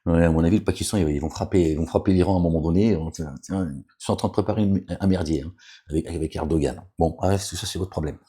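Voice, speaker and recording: A man's voice: sits low, quick pace, dark tone. A man giving an informal, rambling sermon and reading scripture aloud, with many fillers, asides to his listeners and admonishing warnings. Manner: preachy, admonishing. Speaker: religious commentator reading scripture.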